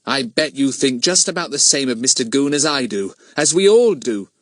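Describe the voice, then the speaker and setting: A man's voice: medium pitch, animated, moderate pace, a bit bright, no room sound, pitched above the performer's own voice. A man voicing a confident, earnest teenage boy detective in an audiobook, well-spoken, polite and persuasive with a cheeky streak. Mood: indignant. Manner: well-spoken, persuasive, eager schoolboy phrasing.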